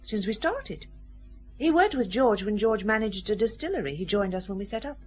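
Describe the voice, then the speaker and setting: An actress playing a worldly, sardonic, flirtatious woman company director in a radio drama, with a husky voice. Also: mid-range voice, very animated, normal speed. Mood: matter-of-fact. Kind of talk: naturalistic radio drama acting.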